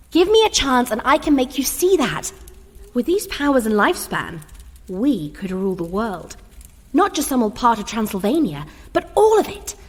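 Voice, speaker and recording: A woman's voice: sits high, big-room sound. An actress playing an ambitious, exasperated mortal woman hungry for power in an audio drama, speaking with urgent, insistent persuasion. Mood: urgent pleading. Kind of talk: comic audio drama acting.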